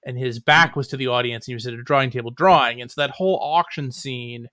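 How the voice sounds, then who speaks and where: Male writer guest, mid-pitched, casual and wry, self-interrupting, recorded dry. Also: fast talker, pushed and bright, no echo. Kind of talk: casual chat.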